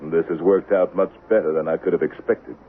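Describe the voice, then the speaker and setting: A man's voice: deep voice, steady tone, brisk, natural voice. An actor playing a cool, vengeful man of the Old West, taunting and sardonic, in a 1970s radio drama. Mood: cold, smug. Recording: tinny, noisy vintage radio broadcast.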